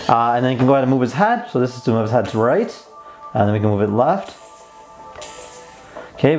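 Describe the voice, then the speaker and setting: A man's voice: medium pitch, a little mellow. Young man demonstrating gadgets over faint music. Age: young adult. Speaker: tech toy reviewer and host. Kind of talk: casual product demonstration.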